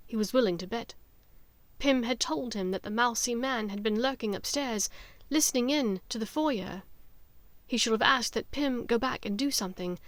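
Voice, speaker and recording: Woman reading a dramatic story audiobook, highish voice, close dry sound no room. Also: fairly bright tone, no room sound. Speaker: audiobook narrator. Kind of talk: scripted dramatic story narration.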